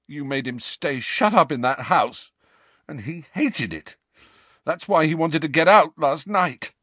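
Man acting a furious, high-pitched teenage boy wizard. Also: animated, unhurried, no echo. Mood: bitter anger. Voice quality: strained, cracking voice. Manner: accusing, shouting, indignant. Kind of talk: dramatic audiobook character reading.

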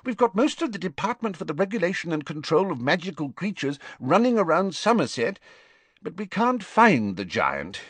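A man voicing a flustered, high-pitched wizard minister. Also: big pitch swings, fairly bright tone, husky, dry recording, exaggerated voice. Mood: miserable. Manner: high, hurried, defensive pleading. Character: flustered, anxious middle-aged male wizard minister.

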